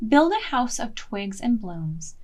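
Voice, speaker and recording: A woman hosting a children's storytime, reading picture-book lines aloud in an expressive, measured way that softens at times. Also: on the high side, slight background noise. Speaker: children's library storytime host.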